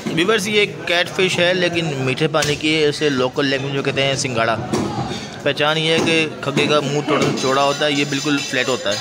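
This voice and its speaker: A man's voice: a little high, steady tone, brisk, loud music behind the voice. A man with a Pakistani Urdu accent, filming a fish market on a handheld phone, explaining each fish and calling out to sellers for prices. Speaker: market vlogger. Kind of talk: walk-through market vlog. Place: busy indoor fish market. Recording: loud crowd noise behind. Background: loud market crowd.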